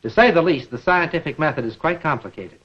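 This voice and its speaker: A middle-aged American man presenting an educational lecture in a measured, deliberate manner, posing rhetorical questions, on a 1950s film soundtrack. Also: a little high, expressive, breathy edge, highs cut off.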